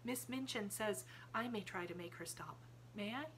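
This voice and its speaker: A woman voicing a calm, thoughtful little girl who speaks gently in a soft half-whisper. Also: medium pitch, normal speed, slight background noise, voice pushed up, close to an everyday voice. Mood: gentle.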